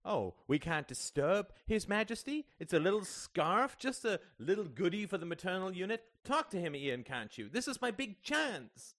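A man's voice: medium pitch, big pitch swings, takes it slowly, fairly bright tone, no echo, voice pushed up. A man voicing an exasperated, scornful mother who scolds and pleads in a wounded way. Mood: scornful, pleading. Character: exasperated, scornful mother fussing over stage costumes.

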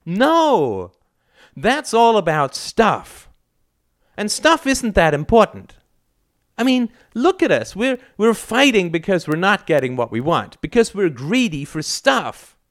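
A man's voice: sits high, sing-song, takes it slowly, pitched above the performer's own voice, exaggerated voice. A man voicing a small, earnest teenage daughter in an audiobook story, impassioned and emotional.

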